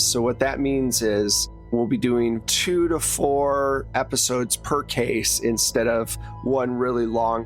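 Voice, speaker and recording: A man narrating a tabletop role-playing game as game master in a conversational, explanatory way. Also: medium pitch.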